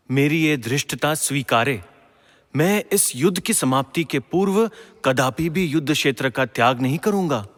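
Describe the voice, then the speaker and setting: A man's voice: fairly high pitch, a bit bright. Man playing a young warrior prince in long reverb. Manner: earnest, formal, emotional. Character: devoted young warrior prince, grieving, resolute son. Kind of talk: Hindi mythological audio drama.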